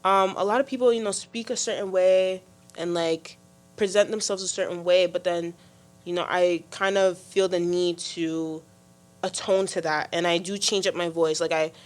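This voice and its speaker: Teen girl hosting a chill podcast, deep voice, lots of "like" and "um". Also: steady tone, moderate pace. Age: teens. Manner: chatty, many fillers and restarts. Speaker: podcast host. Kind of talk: casual discussion.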